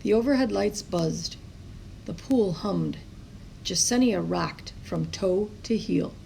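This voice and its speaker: A woman with a creaky voice reading a children's story aloud expressively, as a storyteller. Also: mid-range voice, takes it slowly, dark tone, a little hiss or hum, low-quality recording. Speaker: storybook reader.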